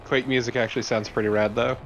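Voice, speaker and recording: Bright-voiced man bantering over gameplay. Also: medium pitch, level tone, fast talker, highs cut off, slight background noise. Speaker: let's play co-commentator. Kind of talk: casual joking banter.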